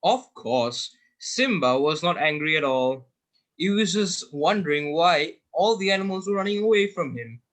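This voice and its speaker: A man's voice: a little high, expressive, moderate pace, fairly bright tone. A young male student narrating a children's animal tale as scripted readers theater. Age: teenager or young adult student.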